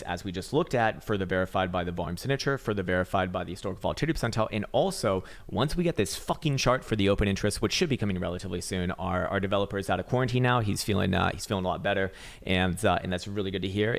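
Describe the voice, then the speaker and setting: A raspy-voiced man giving casual, rambling chart analysis, swearing now and then and full of fillers and restarts. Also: low voice, quick pace. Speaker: market analyst.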